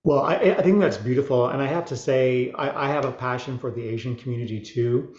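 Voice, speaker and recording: A man's voice: mid-range voice, brisk, darkish tone. A man asking a question on a video call, stammering and repeating words with many "um"s. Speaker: audience guest. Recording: dull echoey room.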